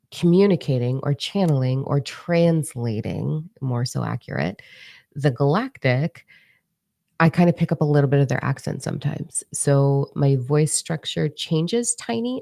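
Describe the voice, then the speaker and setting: A woman with a low, gravelly voice, her first language Italian, talks in a loose, rambling monologue full of ums and self-corrections. Her tone is dark and mellow, and the close, dry recording has no room sound. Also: dry recording. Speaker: spiritual channeler. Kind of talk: casual monologue to camera.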